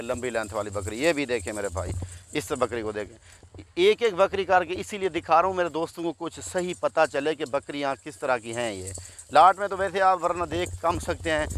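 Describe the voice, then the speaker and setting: A man's voice: mid-range voice, fairly bright tone. A man in his thirties from Pakistan who runs a goat farm, chatting like a salesman as he walks viewers through his herd in an open field, recorded on a phone held at arm's length. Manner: chatty salesman, repeatedly addressing friends. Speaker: goat farm owner and seller. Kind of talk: casual sales walkthrough vlog. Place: open harvested field outdoors.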